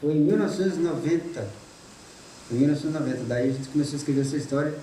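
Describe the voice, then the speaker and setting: High-voiced, fast-talking Brazilian man. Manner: animated, joking storyteller. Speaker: guest. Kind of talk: casual anecdote. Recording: echoey room from far off, clear noise.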